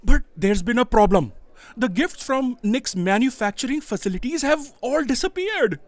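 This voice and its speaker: A woman's voice: on the high side, expressive, moderate pace, dry recording, natural voice. A woman playing an earnest, urgent copy aide in a comic audio drama. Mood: pleading. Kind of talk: scripted audio comedy drama acting.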